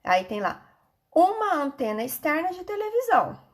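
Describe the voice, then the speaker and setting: A Brazilian woman with a fairly high-pitched voice speaks as a schoolteacher in an online lesson. She walks children through textbook exercises in an instructive manner, often pausing to check that they follow. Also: very animated. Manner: instructive, frequent check-in questions.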